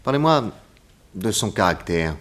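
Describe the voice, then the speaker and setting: A man playing a composed, probing private detective in 1960s French radio drama, speaking with courteous, measured questioning. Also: on the low side, sing-song, a bit bright.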